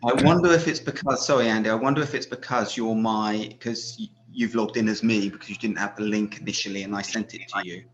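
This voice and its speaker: A man hosting an online meeting, brisk and practical. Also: fairly low pitch, steady tone. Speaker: host. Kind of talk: casual meeting chat. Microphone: video call. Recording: muffled call audio with faint noise.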